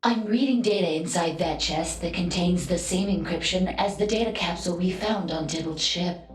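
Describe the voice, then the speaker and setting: A woman voicing a dutiful, precise android crew member in a video game, speaking in a measured, formal way. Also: on the low side, close to an everyday voice. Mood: focused. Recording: echoing voice with loud music.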